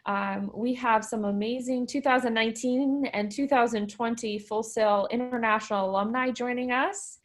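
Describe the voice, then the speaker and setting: Woman, fairly high voice, upbeat panel moderator, chatty, video call. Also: fairly even intonation, normal speed, hardly any pauses. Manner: enthusiastic, encouraging, chatty. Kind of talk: upbeat online panel hosting.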